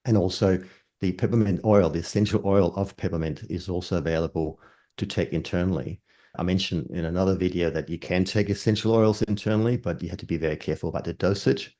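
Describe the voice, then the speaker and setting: An older man from Denmark, a herbalist calmly explaining remedies in an interview, defining terms as he goes. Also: sits low. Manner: measured, explains terms step by step.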